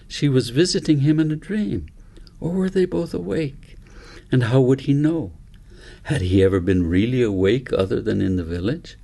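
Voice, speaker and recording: A man's voice: medium pitch, expressive, normal speed, vocal fry, warm tone. A man narrating a story as an author reading his own book aloud.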